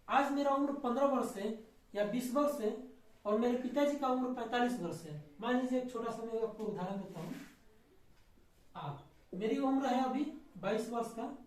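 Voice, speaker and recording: A man teaching maths step by step in an informal classroom style, repeating himself and answering his own questions. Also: extremely high pitch. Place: echoey indoor room. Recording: very echoey room, distant mic.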